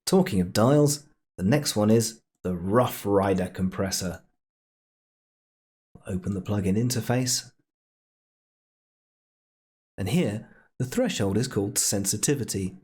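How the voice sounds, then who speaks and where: A man presenting a casual software tutorial in a playful, chatty way with jokey asides. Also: fairly low pitch, animated, a little slow, pauses often, slightly rough.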